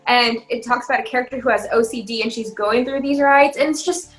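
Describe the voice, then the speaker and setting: A woman co-hosting a podcast in casual chat, telling things in an animated, giggly way. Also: fairly high pitch, fast, faint music behind, low-quality recording. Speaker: podcast co-host.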